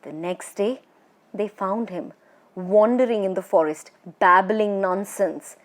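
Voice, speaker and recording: A woman's voice: mid-range voice, takes it slowly, natural voice. Woman voicing a nervous, superstitious village farmer. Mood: fearful, ominous. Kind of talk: children's storybook character voice.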